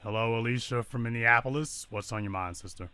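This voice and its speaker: A man hosting a call-in radio show, with a bright, forceful voice. He fires off quick jokes and teases his callers. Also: medium pitch, clear, resonant tone, no echo. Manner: quick, joking, teasing callers. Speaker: radio talk show host.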